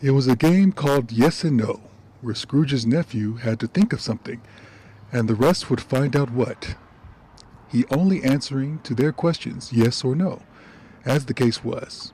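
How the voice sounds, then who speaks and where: A man narrating a scripted radio drama in a measured storytelling manner. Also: mid-range voice, dark tone, slightly rough, rough recording.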